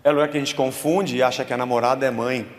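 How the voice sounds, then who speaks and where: A man's voice: mid-range voice, fairly even intonation, quick pace. A Brazilian man, a pastor, delivering a conversational sermon in a church, mixing Scripture with casual slang. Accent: Brazilian Portuguese. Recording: long church reverb.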